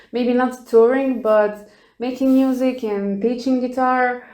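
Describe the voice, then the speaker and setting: A woman's voice: mid-range voice, a little mellow, roomy sound. A woman from Serbia with a Serbian accent, answering interview questions as a guitarist.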